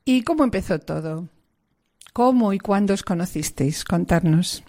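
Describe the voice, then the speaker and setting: Woman host, mellow voice, formal devout hosting, measured delivery. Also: lowish voice, lively intonation.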